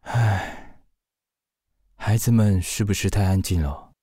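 A male actor playing a gentle, easily flustered widowed father in a radio drama, hesitant and soft-hearted, with a Taiwanese accent. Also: on the low side, slow pace, husky, dry recording, clean studio sound. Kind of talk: Mandarin radio drama acting.

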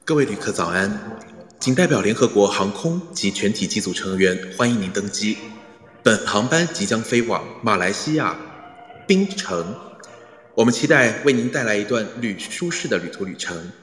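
Low-voiced formal airline captain played by a man. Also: animated, moderate pace, a bit bright. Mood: formal, welcoming. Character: formal male airline captain greeting passengers. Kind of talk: scripted cabin announcement. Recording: echoey, long reverb.

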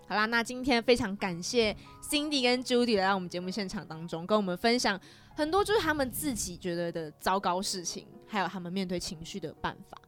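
A woman with a Taiwanese accent hosting a casual chat, telling things in an animated way and acting out little remarks. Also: mid-range voice, brisk, few pauses, soft background music. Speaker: podcast host.

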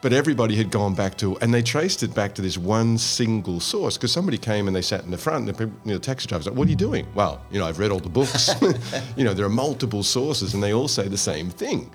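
A man's voice: fairly low pitch, lively intonation, fast, faint music behind. A man from Australia hosting an interview, chatty and telling stories as he asks questions. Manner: chatty, storytelling. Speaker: interview host. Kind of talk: conversational interview.

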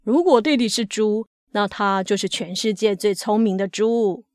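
A man's voice: sits high, moderate pace, smooth, no echo, clean studio sound, natural voice. A woman voicing a proud, doting, encouraging working-class father in a told story. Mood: calmly proud.

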